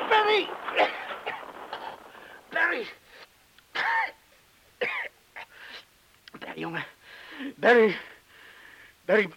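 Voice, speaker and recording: A man's voice: very high voice, creaky, sharp tone, heavily acted, poor audio. An actor in a radio drama playing a blunt, haunted bomb disposal man with a terse, urgent way of talking. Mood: tense, alarmed.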